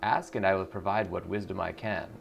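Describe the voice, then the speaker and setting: A man's voice: low voice, steady tone, fairly quick, vocal fry. A man voicing a pious, fatherly cult preacher with a solemn sermonizing manner in video game dialogue. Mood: fatherly, guarded. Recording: music behind the voice.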